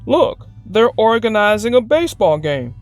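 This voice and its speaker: A woman's voice: fairly high pitch, sing-song, normal speed, faint music behind. A woman voicing an easygoing, proud father bear from a picture book, hearty and emphatic. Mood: pleased.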